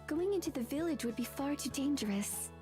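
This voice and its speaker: Woman acting a high-voiced trusting young heroine. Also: animated, a little fast, music in the background. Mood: trusting, cautious. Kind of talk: visual novel voice acting.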